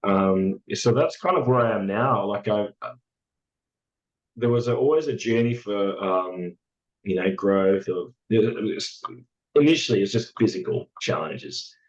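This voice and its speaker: A man with a hoarse, raspy voice, talking in a halting, reflective way as a podcast guest, full of fillers and restarts. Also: fairly low pitch, highs cut off. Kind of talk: casual reflective chat.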